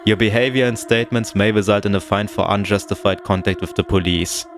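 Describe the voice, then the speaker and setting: A man's voice: on the low side, level tone, brisk, music in the background, close to an everyday voice. A man voicing a curt, officious female police dispatcher who speaks in a clipped, bureaucratic way.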